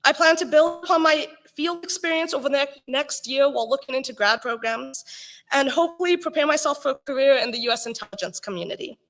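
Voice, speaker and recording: Young woman with a high voice reading her grad speech, earnest and measured. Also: a bit bright. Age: young adult. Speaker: graduating student honoree.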